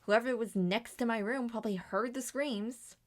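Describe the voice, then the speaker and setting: Woman as moody robot teen girl: high-pitched, sardonic, dramatic audio roleplay. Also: normal speed, natural voice. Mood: defensive. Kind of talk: fan-made audio roleplay acting.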